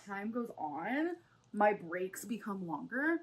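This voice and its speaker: A woman's voice: mid-range voice, sing-song, slow pace, a little hiss or hum. A woman vlogger chatting casually while she does her makeup, rambling and full of likes. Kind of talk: casual makeup chat vlog.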